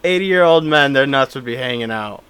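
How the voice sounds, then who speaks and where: A man's voice: medium pitch, animated, moderate pace, fairly bright tone, smooth, dry recording. A man co-hosting a podcast, trading laid-back, teasing banter. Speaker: podcast co-host. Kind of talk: casual chat.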